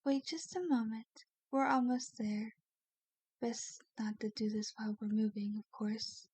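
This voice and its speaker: Woman acting as kindly female vampire, genteel, coaxing, unhurried, close dry sound, ASMR roleplay. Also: fairly high pitch, steady tone, slightly rough, no echo. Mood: reassuring, coaxing. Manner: gentle, soothing, genteel, coaxing.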